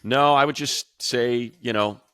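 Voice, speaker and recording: A man's voice: medium pitch, measured pace, a bit bright, smooth, no room sound, studio quality. A man hosting a casual sports podcast, explaining his analysis emphatically and repeating words to stress his points.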